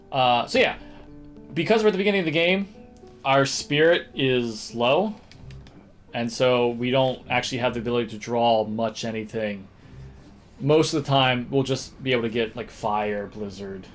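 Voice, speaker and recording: A man streams a video game with casual, think-aloud commentary. His voice sits at an average pitch, and he sometimes hums to himself. Game music plays behind him. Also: steady tone, normal speed, long pauses. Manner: thinks aloud, hums little nonsense tunes.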